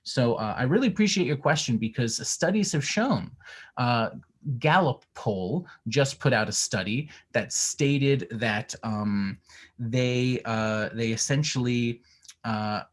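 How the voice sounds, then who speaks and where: An earnest man speaks in a middle pitch over a video call. His talk is full of fillers and restarts as he answers a question about his organization's work. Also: takes it slowly. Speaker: organization head presenting.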